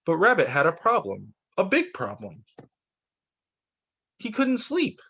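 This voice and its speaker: Male primary teacher, lightly high voice, animated picture-book read-aloud with spontaneous asides. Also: measured pace, slightly rough. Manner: expressive, comments aloud on the pictures. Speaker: teacher reading aloud to young students.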